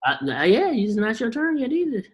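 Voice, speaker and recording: A man's voice: extremely high pitch, wildly swooping pitch, a little fast. A man hosting a team video call, talking in a loose, slangy way and firing off rhetorical questions to motivate the group.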